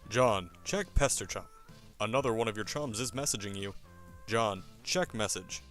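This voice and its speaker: A man with a slightly low voice narrates a web comic. His delivery is theatrical and wry, with faint music underneath. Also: moderate pace, fairly bright tone. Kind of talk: dramatic scripted narration of a comic.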